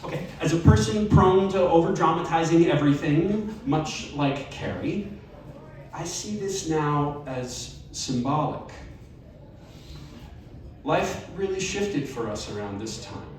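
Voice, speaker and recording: A man reading a personal essay aloud at a live event, measured and expressive with wry emphasis. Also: a little high. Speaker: story reader. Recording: very echoey live room, distant mic.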